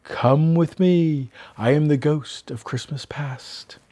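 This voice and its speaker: A man reading a storybook puts on a solemn ghostly spirit. The words are slow and deliberate, grave in manner. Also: a little high, dark tone, dry recording. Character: solemn ghostly male spirit guiding a sleeper.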